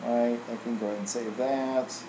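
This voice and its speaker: A man's voice: medium pitch, steady tone, moderate pace. Man giving a tutorial in an echoey room. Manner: casual, informal, tags statements with "right?". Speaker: software trainer. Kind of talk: informal software tutorial walkthrough. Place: indoor room, screen recording voice-over. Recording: roomy echo, faint air-conditioning hum.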